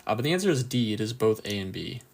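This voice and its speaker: A man with a croaky, just-woken-up voice runs a casual live quiz for anatomy students, reading answer options and cheering on viewers' replies. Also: lowish voice, very animated, quick pace, darkish tone. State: just woke up, a bit tired. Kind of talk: casual livestream quiz review.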